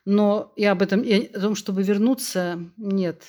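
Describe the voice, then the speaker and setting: Woman around sixty, from Russia. Candid, lively guest voice, speaks openly in conversation, loves to retell scenes with dialogue. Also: medium pitch, moderate pace. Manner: frank, animated, tells anecdotes with quoted dialogue. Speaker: podcast guest.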